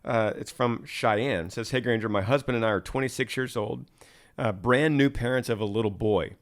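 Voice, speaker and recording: A man hosting a podcast, talking in a relaxed way with soft fillers and restarts, and reading listener questions aloud. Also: medium pitch, moderate pace. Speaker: podcast host.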